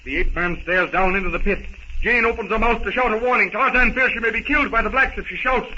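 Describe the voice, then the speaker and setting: A man's voice: high voice, fast talker, bright, forceful tone. A man narrating a 1930s radio adventure serial in a theatrical, suspenseful, dramatic manner. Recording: muffled, noisy 1930s radio recording.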